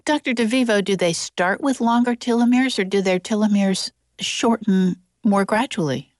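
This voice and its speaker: A woman interviewer asking a guest measured, formal questions. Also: mid-range voice, no room sound, studio quality.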